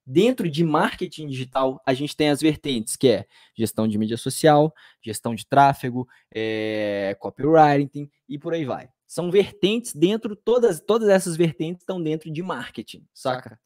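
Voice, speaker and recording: A man, a social media manager talking as a podcast guest, speaking fast and often stumbling over his words. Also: a little high, animated, darkish tone, roomy sound, distant mic. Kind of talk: casual podcast chat.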